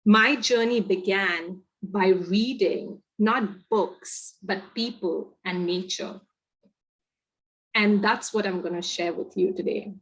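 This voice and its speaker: A woman's voice: mid-range voice, slow, low-quality recording. A woman from India with an Indian accent, giving a reflective storytelling presentation on a video call. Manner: reflective storytelling, lively emphasis. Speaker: guest speaker. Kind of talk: webinar presentation.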